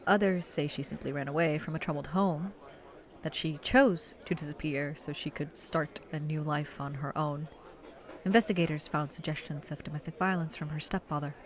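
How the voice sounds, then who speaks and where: An actress plays a seasoned female reporter in an audio drama interview. Her voice is low and her delivery is measured and solemn. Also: lightly acted. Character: grave, seasoned female reporter recalling an old case.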